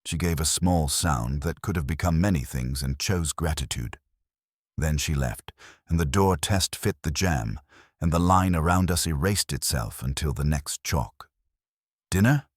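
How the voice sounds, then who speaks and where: A man reading a first-person detective story as narrator, in a wry, measured storytelling manner. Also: extremely low pitch, no room sound, studio quality.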